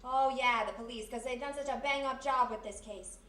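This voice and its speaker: A woman with an American accent playing a quick-thinking, stubborn, slangy detective's sidekick in a 1940s-style radio mystery, speaking insistently. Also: on the high side, fairly bright tone, natural voice. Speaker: actor. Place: live performance in a historic house. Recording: roomy, distant live recording with faint noise.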